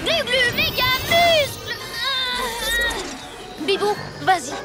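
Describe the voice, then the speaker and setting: A woman's voice: very high voice, very animated, slow pace, loud music behind the voice, full character voice. A woman voicing a plucky six-year-old superhero boy in a cartoon dub, with an eager, exclamatory manner. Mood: determined. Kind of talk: French animated cartoon dubbing.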